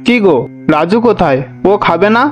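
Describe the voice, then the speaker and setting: A man's voice: high-pitched, animated, moderate pace, faint music behind. A man voicing a poor, worried, forgiving village father in a cartoon, with a mild, resigned way of talking. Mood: gentle. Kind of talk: Bengali cartoon voice acting.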